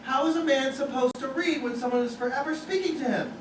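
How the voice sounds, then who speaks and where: A man's voice: very high voice, brisk. Exasperated stage husband, played by a man: testy, emphatic, declamatory comedy delivery, distant noisy theater sound. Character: irritable exasperated husband trying to read his paper. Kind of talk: live stage comedy acting. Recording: echoey theater from far off, noisy.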